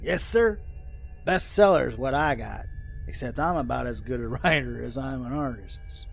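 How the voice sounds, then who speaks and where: A man's voice: medium pitch, normal speed, gravelly, faint music behind, natural voice. A man playing a folksy, jumpy rural hermit with colloquial country talk in an audio drama. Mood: eager.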